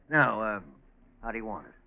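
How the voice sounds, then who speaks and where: An actor playing a genial, neighborly middle-aged farmer, folksy and hesitant, in 1940s radio drama style. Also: male voice, fairly low pitch, creaky. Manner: folksy, hemming and chuckling. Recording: muffled old 1940s radio recording.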